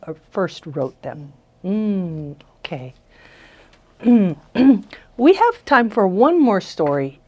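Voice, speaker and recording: A woman's voice: on the low side, darkish tone. A woman interviewing guests as host, in a conversational style.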